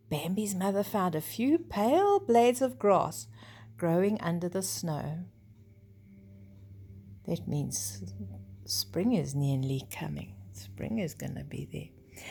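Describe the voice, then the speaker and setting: A woman's voice: fairly low pitch, gravelly, darkish tone, slightly rough. An older woman storyteller reading a children's story expressively, with chatty asides that explain things simply.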